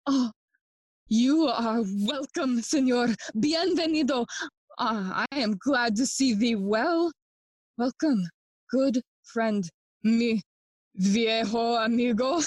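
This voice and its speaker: A woman's voice: fairly high pitch, dry recording. A woman playing a brooding, mocking young prince who feigns madness, with theatrical Shakespearean delivery in an audio play. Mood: wry, welcoming. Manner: theatrical, deliberate verse delivery. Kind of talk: Shakespearean audio play acting, bilingual English and Spanish.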